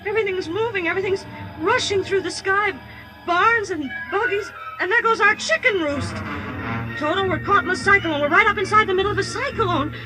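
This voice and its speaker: A woman playing an earnest, trusting, excitable Kansas farm girl in a 1950s radio drama, with wide-eyed exclamations and hesitations. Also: very high voice, brisk, rough recording. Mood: frightened, excited. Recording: vintage radio broadcast with orchestral music.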